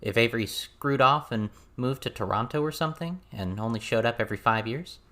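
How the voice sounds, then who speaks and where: A man's voice: medium pitch, a little fast, fairly bright tone, close to an everyday voice. Man as a dry, guarded teenage girl.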